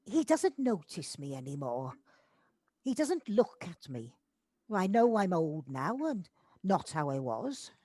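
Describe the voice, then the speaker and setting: A woman's voice: lowish voice, very animated, takes it slowly, dark tone, dry recording, heavily acted. An amateur actress playing a reserved, self-doubting older woman in an audio drama, kindly but wounded and hesitant. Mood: downcast. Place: recorded at home on a personal device.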